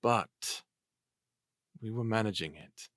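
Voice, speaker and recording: Wry human outsider: low voice, dry close sound, measured and unflappable, audio drama. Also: male voice, fairly bright tone, dry recording. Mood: grave recounting. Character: wry, unflappable human man with ancient memories. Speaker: actor.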